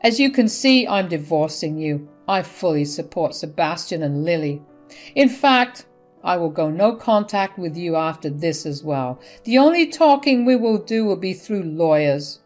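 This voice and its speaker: A woman's voice: on the low side, animated, a little slow. A woman voicing a stern middle-aged husband who reproaches his wife, over faint music.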